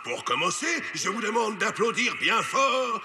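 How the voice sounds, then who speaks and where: Wildly animated cartoon contest announcer. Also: male voice, sits high, measured pace, exaggerated voice. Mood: showy excitement. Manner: grandiose, theatrical. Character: showy zebra dance contest announcer in children's cartoon. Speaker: master of ceremonies. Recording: loud music under the voice.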